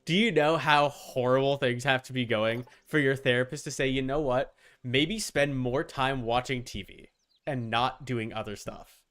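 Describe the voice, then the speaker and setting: A man's voice: mid-range voice, steady tone, moderate pace, fairly bright tone. A man livestreaming a game, chatting informally and teasingly with his viewers and swearing casually. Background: background music.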